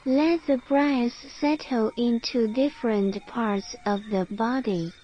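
A woman leads a guided sleep meditation at an extremely slow pace, leaving long pauses between short phrases. Her voice sits fairly high. The recording is slightly muffled, with crickets, birds and some noise behind her.

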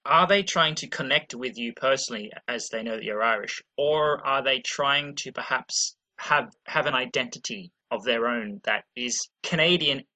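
A man from Australia hosts a relaxed interview over a video call. His voice is bright with a husky edge, and he asks long, probing questions. He sits at a middle pitch for a man. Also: normal speed, hardly any pauses, rough recording. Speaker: host.

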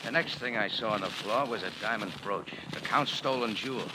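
An actor playing a tough, wisecracking bar owner and sleuth with an American accent, slangy and sardonic, in 1940s radio style. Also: male voice, on the low side, brisk, close to an everyday voice. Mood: brisk, intent. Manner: hard-boiled, slangy, sardonic. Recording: very noisy vintage radio recording.

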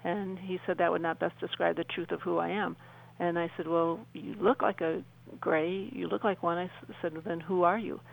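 A woman speaking as a guest on a radio show, telling her personal story in an earnest, halting way with repeated phrases. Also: on the low side, flat delivery, vocal fry, low-quality recording. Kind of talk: personal account in an interview.

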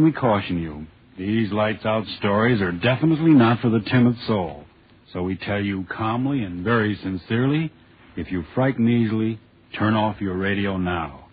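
An American man hosting a 1930s radio horror show, speaking in a solemn, deliberate, ominous manner. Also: fairly low pitch, slightly rough. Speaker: host and announcer. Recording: tinny 1930s radio with music.

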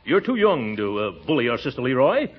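An actor playing a pompous, flustered middle-aged uncle in 1940s radio comedy, chuckling and sputtering. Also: male voice, medium pitch, sing-song, a little fast, husky. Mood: blustering. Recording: muffled old-time radio recording.

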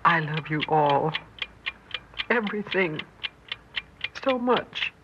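An actress playing a loving, heartbroken wife and mother in a 1960s radio drama, soft and hushed, resigned to the end. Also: sits low, animated, slow pace. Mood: tearful, loving. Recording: muffled, noisy old radio recording.

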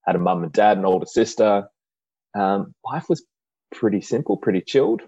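Deep-voiced Australian man, laid-back. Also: moderate pace, husky, no room sound, lo-fi sound. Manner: relaxed, chatty, dry humor. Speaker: podcast guest. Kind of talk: casual interview.

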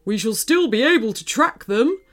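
A woman's voice: on the high side, expressive, normal speed, faint music behind, close to an everyday voice. A woman voicing a small, polite, nervous male teddy bear in a children's story, speaking hesitantly. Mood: earnest, helpful.

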